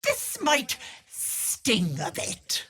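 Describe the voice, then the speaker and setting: An actress voicing a wicked old hag with a raspy, cackling voice, taunting with sugary pet names. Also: female voice, high-pitched, huge pitch swings, drawn-out words, pushed and bright, heavily acted. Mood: menacing, teasing. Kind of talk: video game voice acting.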